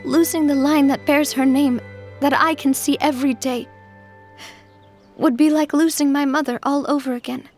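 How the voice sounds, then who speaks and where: A woman voicing a young gang boss who speaks softly and in a measured, heartfelt way. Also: high voice, fairly even intonation, faint music behind, lightly acted. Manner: soft-spoken, measured, emotional. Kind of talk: game voice acting.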